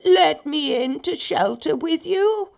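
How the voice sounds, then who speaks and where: A woman voicing a storybook wolf who pretends to be a poor lost sheep, with a slow, bleating, wheedling delivery. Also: high-pitched, lively intonation, creaky, a little mellow, voice pushed up, exaggerated voice. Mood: wheedling, pleading.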